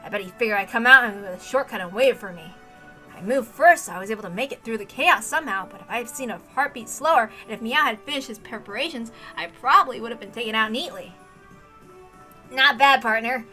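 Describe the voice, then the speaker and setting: Self-assured young genius fighter a woman acts him, good-humored and casual, high bright voice, for story drama. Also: fast talker, faint music behind, rough recording. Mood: impressed, smug.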